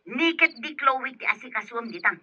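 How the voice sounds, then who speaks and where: A demanding, sharp-tongued madam of the house in a radio drama. Her Ilocano-accented voice is forceful and animated, with music behind dull broadcast audio. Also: a little high, moderate pace, a little hiss or hum. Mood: angry. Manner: demanding, snapping. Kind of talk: Filipino radio drama acting.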